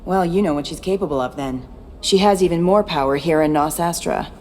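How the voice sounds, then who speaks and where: A woman's voice: deep voice, brisk, a little mellow, slight background noise, natural voice. A woman playing a tough, cynical former security chief in video game dialogue, blunt and guarded. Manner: blunt, matter-of-fact.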